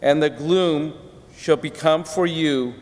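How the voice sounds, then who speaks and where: A middle-aged man reading scripture as a lector at a lectern in a large church, with measured, solemn pacing. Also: fairly high pitch. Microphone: lectern microphone. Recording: long church reverb.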